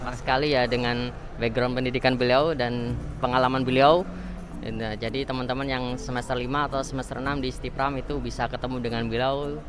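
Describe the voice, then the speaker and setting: A man's voice: mid-range voice, level tone, a little fast, background noise. A man from East Java hosting an interview, asking questions and summing up the guest's answers in a friendly way. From Jember, East Java, Indonesia. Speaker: interview host. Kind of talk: interview host.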